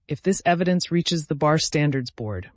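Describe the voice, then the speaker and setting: A man voicing a vengeful, calculating woman in an audiobook, deliberate and accusatory, with bitterly controlled grief. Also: a little high, lively intonation, dry recording, lightly acted. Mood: cold menace. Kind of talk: dramatic audiobook character reading.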